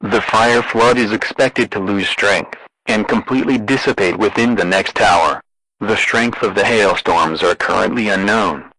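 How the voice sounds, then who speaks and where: A man with a fairly low but bright, forceful voice delivers a scripted emergency alert. His delivery is formal and deadpan, like an official announcer. The sound is very dry, with no room around it. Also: unhurried, no echo, poor audio. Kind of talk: scripted emergency broadcast narration.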